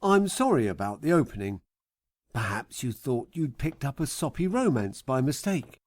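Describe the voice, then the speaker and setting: A man's voice: mid-range voice, a little mellow, no echo, clean studio sound. A man narrating his own comic audiobook in a theatrical, mock-poetic, wry way. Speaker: author reading his own comedy book.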